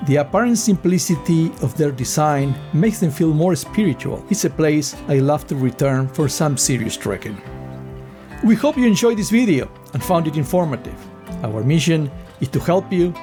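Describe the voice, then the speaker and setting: A man with an Argentine accent giving a measured, scripted voice-over for a travel vlog. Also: on the high side, dark tone. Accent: Argentine Spanish. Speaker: travel vlogger. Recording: voice-over with guitar music behind. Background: guitar music.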